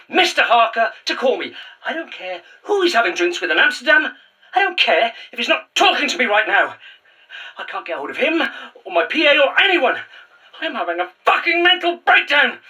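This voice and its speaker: A woman's voice: high voice, bright, forceful tone, distant mic, exaggerated voice. A woman playing a frantic, entitled younger woman in an audio drama, sweary, sarcastic and exasperated. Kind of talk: naturalistic audio drama acting.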